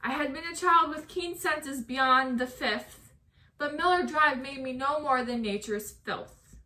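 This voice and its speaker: A woman author, animated and playfully exasperated, chatting casually and reading her own poem. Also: high-pitched, unhurried.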